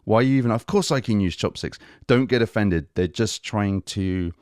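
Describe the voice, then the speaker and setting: A man's voice: lowish voice, moderate pace, darkish tone, no echo, clean studio sound. Chatty man hosting a language podcast. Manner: chatty, enthusiastic, switches between English and Japanese phrases. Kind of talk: casual conversational lesson.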